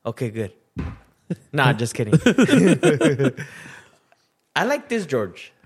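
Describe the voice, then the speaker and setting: हँसमुख, छेड़छाड़ करता आदमी. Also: male voice, medium pitch, huge pitch swings, drawn-out words, slightly rough. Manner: playful, teasing, often laughing. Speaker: podcast co-host. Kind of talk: casual chat among friends.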